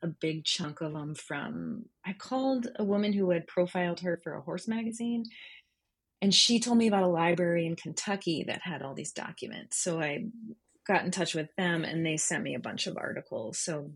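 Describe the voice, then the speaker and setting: A woman with a low voice and a husky edge speaks as a guest in an interview. She explains her work in a conversational, thoughtful way, often searching for the right word as she goes. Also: moderate pace. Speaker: journalist guest. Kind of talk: conversational interview, explaining their writing process.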